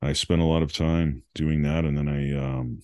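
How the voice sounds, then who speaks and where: A man's voice: extremely low pitch, lively intonation, normal speed, vocal fry, a little mellow. A middle-aged man from New England, a meditation coach, thoughtfully telling his life story as a podcast guest, with many fillers. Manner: thoughtful, rambling, with frequent fillers and laughs. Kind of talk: reflective conversational storytelling.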